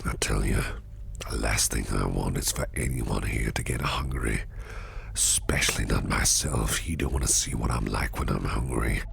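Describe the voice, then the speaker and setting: An extremely deep, heavily gravelled villain boss murmurs in a close, breathy ASMR roleplay. He takes his time, silky and flirtatious with a predatory menace under the teasing. A faint hiss sits behind the voice. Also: normal speed, a bit bright, full character voice. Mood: flirtatious, smooth. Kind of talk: intimate ASMR roleplay, close and breathy, often half-whispered.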